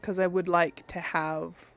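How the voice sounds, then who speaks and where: Casual woman gamer with a creaky voice. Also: lowish voice, level tone, measured pace. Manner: informal, conversational, thinking aloud. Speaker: video game streamer, host of a role-play series. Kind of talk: casual gameplay commentary.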